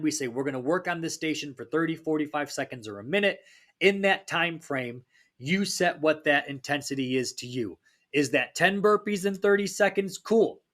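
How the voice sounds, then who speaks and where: Male fitness coach, a bit raspy from a cold; higher-pitched, casual, motivational podcast delivery. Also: fairly bright tone. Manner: upbeat, pep-talk delivery. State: slightly raspy from a recent cold.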